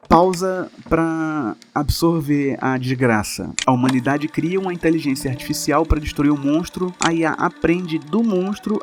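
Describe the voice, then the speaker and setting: A man with a Brazilian accent narrates a scripted lore explainer, adding wry, dryly humorous asides. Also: on the high side. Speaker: video essay narrator.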